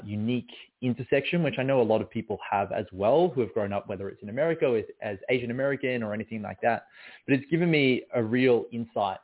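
A man, a guest poet answering interview questions in a reflective, searching way. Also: on the low side. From Australia. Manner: reflective, many fillers and restarts. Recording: phone-like narrow sound.

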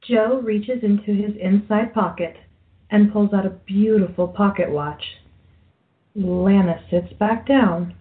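A woman's voice: medium pitch, takes it slowly. A woman reading scripted narration for a radio play. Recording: dull lo-fi audio.

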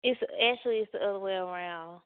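A woman's voice: mid-range voice, fast, smooth, no room sound. A woman from the American South, a rapper and co-host, talking in an outgoing and agreeable way. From born in Atlanta, raised in Dallas. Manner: outgoing, agreeable, apologetic with little laughs. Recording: tinny phone-line sound.

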